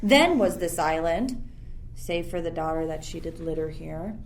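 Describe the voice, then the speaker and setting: A woman's voice: low voice, lively intonation, a little slow. A young woman playing an imperious sorcerer who rules an island, in theatrical Shakespearean verse for a radio play. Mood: stern, threatening. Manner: commanding, theatrical. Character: Prospero, imperious, stern sorcerer ruling an island.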